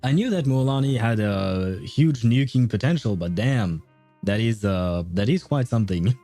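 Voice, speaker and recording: A man's voice: fairly low pitch, expressive, normal speed, warm tone, faint music behind. A man livestreaming a video game, chatting casually with viewers in a conversational, meandering way.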